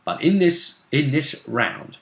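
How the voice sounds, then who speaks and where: A man commentating as host on a fantasy role-play tournament, with deliberate, halting phrasing. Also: a little high, animated, gravelly, bright, forceful tone. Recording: roomy, echoing sound.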